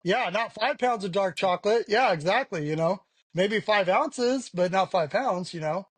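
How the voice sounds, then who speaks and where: A man's voice: sits high, lively intonation, normal speed, breathy edge, no room sound. A man talking frankly as an interview guest, rambling with lots of "you know" fillers. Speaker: podcast guest. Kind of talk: candid conversational interview.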